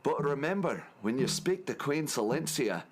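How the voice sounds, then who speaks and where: Animated, nervous alien palace guard, man acting. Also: mid-range voice, normal speed, close to an everyday voice. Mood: nervous warning. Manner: warning, hesitant. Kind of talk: children's audio drama acting.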